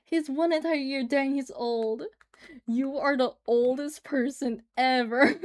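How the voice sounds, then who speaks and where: A woman's voice: sits high, takes it slowly. A woman livestreaming as she draws, chatting casually and giggling as she answers her chat. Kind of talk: casual chat while drawing on stream.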